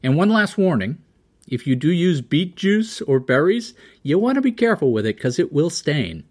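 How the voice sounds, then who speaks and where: A middle-aged man hosting an endurance sports podcast, talking casually and chiming in with quick agreement. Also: on the high side, very animated, slightly rough. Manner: conversational, frequent "yeah" and "right" backchannels. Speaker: podcast host. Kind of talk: casual interview and solo segment.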